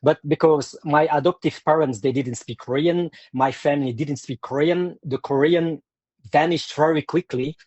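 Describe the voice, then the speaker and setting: A man's voice: on the high side, moderate pace, a little mellow, breathy edge, no echo. A man from Belgium with a Flemish accent, a martial arts instructor speaking in an interview, hesitant and full of fillers and restarts. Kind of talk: conversational interview.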